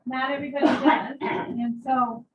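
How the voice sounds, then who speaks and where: Booming woman host in an echoey room. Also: fairly even intonation, normal speed, a little hiss or hum. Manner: loud, booming, enthusiastic. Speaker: museum event host. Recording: echoey, muffled sound from far off.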